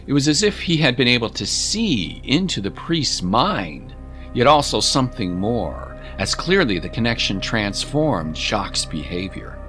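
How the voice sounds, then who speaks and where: A man's voice: mid-range voice, very animated, a little slow, pushed and bright. A man narrating a scripted story as an audiobook narrator, in a dramatic storytelling style. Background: music.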